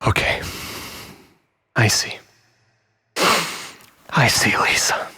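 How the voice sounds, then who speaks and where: A man's voice: drawn-out words, pushed and bright, big-room sound, exaggerated voice. A man playing a desperate, manipulative, self-pitying lover in a dramatic monologue, stammering and repeating himself as he swings between pleading and bitterness. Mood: bitter, accusing.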